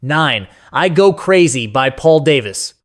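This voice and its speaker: A man's voice: fairly high pitch, animated, a little slow. A man narrating a scripted nostalgic countdown in a folksy, conversational, sentimental storytelling way. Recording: music playing behind the voice. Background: music.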